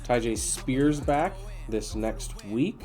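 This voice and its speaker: A man with a raspy, nasal, congested voice giving casual commentary on a video game as host. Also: medium pitch, expressive, slow pace, darkish tone, soft background music. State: congested after being sick.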